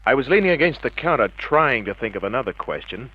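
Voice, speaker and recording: An actor playing a tough, wisecracking private detective, terse and cocky, narrating in the hardboiled style of an old radio crime drama. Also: male voice, mid-range voice, sing-song, fast talker. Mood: wry, matter-of-fact. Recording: dull vintage radio drama recording.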